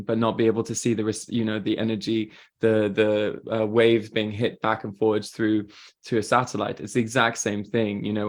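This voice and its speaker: A man with a British accent, a guest talking openly and easily in an interview. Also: fairly low pitch. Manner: chatty, open, laughs easily. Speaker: guest, spiritual mentor. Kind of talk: casual interview chat.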